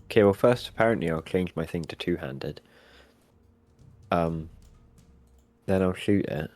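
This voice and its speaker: A man playing a tabletop game, speaking in short, low-key, resigned remarks. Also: a little slow, darkish tone. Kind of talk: casual game talk.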